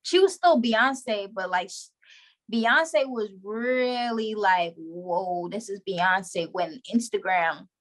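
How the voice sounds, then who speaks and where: A woman's voice: medium pitch, slow. A young woman talking in a casual interview, chatty and slangy with lots of "like". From Trinidadian background. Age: young adult. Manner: chatty, slangy, full of "like" and laughs. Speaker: guest, show creator.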